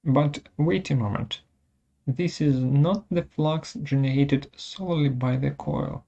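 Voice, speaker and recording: A presenter of unclear sex gives a step-by-step technical software demonstration in short, halting phrases, recorded as muffled webinar audio. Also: mid-range voice, vocal fry.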